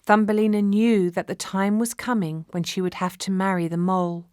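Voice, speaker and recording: Female storyteller reading a kids fairy tale, mid pitch, measured and expressive, dry close sound. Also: clean tone, no room sound. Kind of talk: scripted children's fairy-tale narration.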